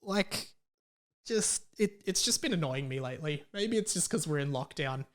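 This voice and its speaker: A man's voice: a little high, expressive, moderate pace. An Australian man hosting a podcast, talking casually on his own in a rambling, opinionated, sweary way. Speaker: podcast host. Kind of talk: casual solo podcast chat.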